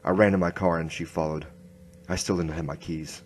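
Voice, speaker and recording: Man as a low-pitched noir inspector. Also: fairly even intonation, brisk, darkish tone. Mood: grim narration. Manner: terse, grim. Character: hard-boiled noir male police inspector narrating his case. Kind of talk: noir radio drama narration.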